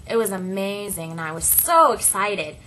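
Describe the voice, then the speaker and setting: Bright-voiced woman telling a personal story. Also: mid-range voice, lively intonation, moderate pace, slight background noise, rough recording. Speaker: interpreter voicing a signed personal story.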